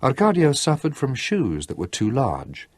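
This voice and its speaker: A man reading a novel aloud as an audiobook narrator, measured and storytelling, with slow dramatic pauses. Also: medium pitch, wildly swooping pitch, darkish tone, no echo. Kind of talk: scripted literary narration.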